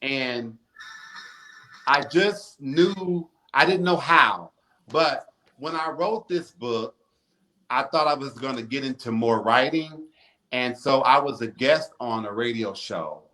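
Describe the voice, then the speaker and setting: A man in his forties with a strong baritone voice, a radio host speaking as an interview guest in an emphatic, animated way. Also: slow pace, low-quality recording. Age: 40s. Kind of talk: casual interview conversation.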